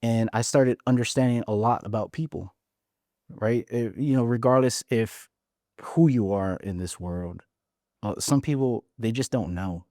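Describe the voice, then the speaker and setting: A man's voice: mid-range voice, measured pace, a little mellow, dry recording, studio quality. A man from Hawaii in his forties, a podcast guest frankly telling his story and often restarting his words. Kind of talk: casual interview storytelling.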